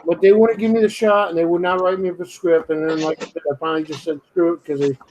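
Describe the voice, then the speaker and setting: A man's voice: fairly high pitch, fairly even intonation, fast talker, a little mellow, highs cut off, a little hiss or hum. A man with a slight Brooklyn-ish accent chatting casually, blunt and grumbling, swearing freely. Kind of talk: casual late-night chat.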